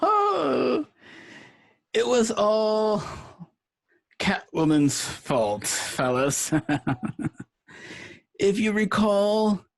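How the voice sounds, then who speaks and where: A flamboyant, gleefully cruel clown villain, forever breaking into maniacal cackles. His voice sits high and swoops wildly up and down, and he drags his words out at an unhurried, savoring crawl. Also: very animated, gravelly, full character voice. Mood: mock innocent. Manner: theatrical, frequent maniacal laughter. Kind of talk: amateur radio drama acting.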